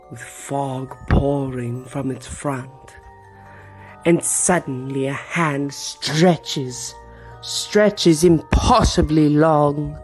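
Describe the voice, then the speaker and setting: A man's voice: fairly high pitch, darkish tone. A man narrating a horror adventure game dramatically, drawing out his phrases for suspense. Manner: slow, suspenseful, drawn-out phrases. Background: background music.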